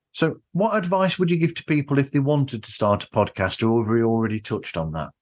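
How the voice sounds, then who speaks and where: A man's voice: mid-range voice, moderate pace, darkish tone. A man hosting a podcast, chatting casually with his guest in an interview.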